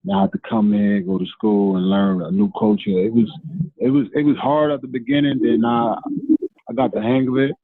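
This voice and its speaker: Guy, raspy gravelly voice, earnest, dry phone line feel. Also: medium pitch, expressive, fairly quick, no echo. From Honduras. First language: Spanish. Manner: earnest, repeats words when starting. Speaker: guest, hip-hop artist. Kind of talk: casual interview answers.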